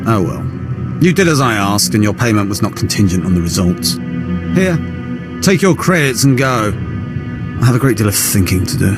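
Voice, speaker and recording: A callous poisoner scientist in a video game. His voice is fairly low, fussy and self-absorbed, and music plays behind him. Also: big pitch swings, normal speed, long pauses. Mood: dismissive.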